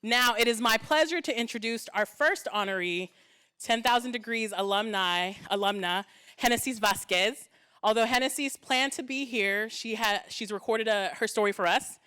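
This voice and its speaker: A woman's voice: on the high side, normal speed, bright, forceful tone, smooth. A woman emceeing a gala on stage with a handheld microphone, chatty and joking with the audience. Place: event hall stage.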